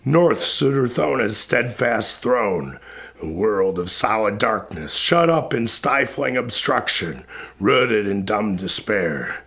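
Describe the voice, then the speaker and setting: A man giving a solemn, dramatic recitation of epic poetry in a grave, declamatory manner with deliberate pauses between phrases. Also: fairly low pitch, very animated, vocal fry, a bit bright.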